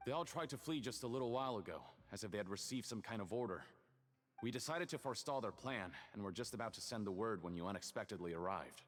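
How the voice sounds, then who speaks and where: A man's voice: lowish voice, expressive, quick pace, close to an everyday voice. Man as formal guard officer, reverberant. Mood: dutiful. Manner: formal, earnest reporting. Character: dutiful male guard officer, formal and deferential. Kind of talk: video game voice acting. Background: game music.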